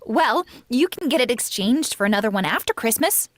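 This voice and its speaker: A woman's voice: on the high side, animated, a little fast, natural voice. A woman playing a frank, loyal housemaid in old-time radio comedy, reacting wryly. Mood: curious.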